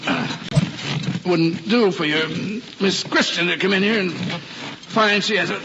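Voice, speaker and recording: A proud, gruff American cop and single father from a vintage radio play. He blusters and hesitates in a folksy way, at a medium pitch. The recording is dull, with faint noise. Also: measured pace. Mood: flustered, pained. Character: gruff, proud, flustered widowed policeman father.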